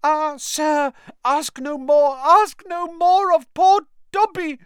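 A man's voice: very high-pitched, flat delivery, slow, a bit bright, no echo, studio quality, voice pushed up, exaggerated voice. A man voicing an anxious, servile male house-elf who pleads and keeps calling people sir. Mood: frantic.

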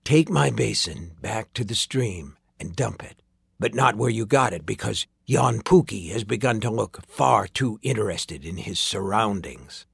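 Man playing a sly, low-murmuring sinister sorcerer. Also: expressive, vocal fry, a bit bright, husky, dry recording, studio quality, heavily acted. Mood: coolly commanding. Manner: archaic, teasing, drawn-out murmuring that drops into whispers. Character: sinister sorcerer man, mockingly courteous, slyly gleeful at suffering.

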